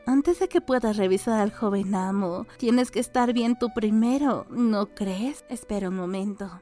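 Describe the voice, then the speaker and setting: A woman's voice: medium pitch, a little slow, mellow, soft background music, lightly acted. A woman voicing a caring older maidservant in an audiobook, fussing and coaxing. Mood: gentle coaxing.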